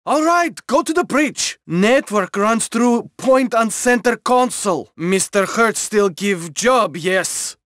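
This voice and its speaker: An actor playing a naive, eager Russian defector in a video game, with a Russian accent and broken English. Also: male voice, sits high, expressive, slow pace, few pauses, a bit bright, no echo, clean studio sound, full character voice. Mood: eager, nervous. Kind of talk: video game mission dialogue.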